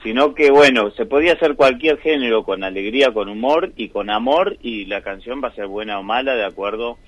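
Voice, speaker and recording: A man with an Argentine accent, a musician answering interview questions thoughtfully, with many pauses and fillers. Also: mid-range voice, animated, no room sound. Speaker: musician, band member.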